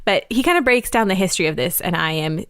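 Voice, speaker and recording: A woman's voice: mid-range voice, lively intonation, fast talker, fairly bright tone, smooth, no room sound, studio quality. A woman co-hosting a casual podcast, upbeat and explaining things at length with lots of "like". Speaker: podcast co-host.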